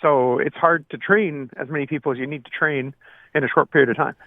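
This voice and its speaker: A man's voice: a little high, fairly even intonation, no echo. Older man on a narrow phone line. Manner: halting, plain-spoken, often rewording mid-sentence. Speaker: retired air traffic controller, interview guest. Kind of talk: explanatory radio interview. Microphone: phone call.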